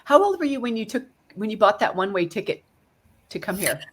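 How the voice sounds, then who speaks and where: A woman's voice: medium pitch, big pitch swings, quick pace, husky. A woman hosting a podcast, introducing and interviewing her guest with enthusiasm and frequent laughter. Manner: enthusiastic, laughs often, warm praise of guest. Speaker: podcast host.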